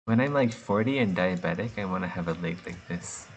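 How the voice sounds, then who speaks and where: A man's voice: low voice, expressive, fairly quick. A man from the United States chatting casually and joking as he works, laughing often between rambling asides. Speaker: artist streaming while sculpting. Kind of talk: casual chat while working.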